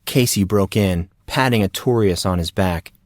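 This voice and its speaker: A man's voice: sits low, moderate pace, dry recording, clean studio sound. A man narrating a scripted story as the narrator of an audio drama.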